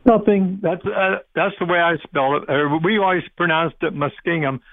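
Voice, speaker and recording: A man's voice: on the high side, steady tone, normal speed, a little hiss or hum. A man in his eighties from Ohio calling in to a radio show over a tinny phone line, casually telling anecdotes. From Ohio, United States. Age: 80s. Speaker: phone-in caller.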